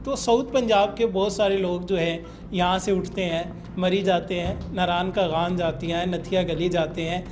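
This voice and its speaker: A man's voice: high voice, fairly even intonation, normal speed, few pauses, noisy background. A Pakistani man hosting a podcast interview, speaking politely and respectfully as he presents and asks questions. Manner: polite, addresses guest respectfully.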